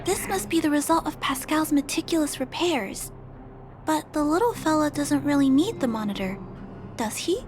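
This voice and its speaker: A woman voicing a gentle, curious young girl in a video game, polite and musing thoughtfully aloud. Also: high voice, animated, moderate pace. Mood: curious, pondering. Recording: game music behind the voice.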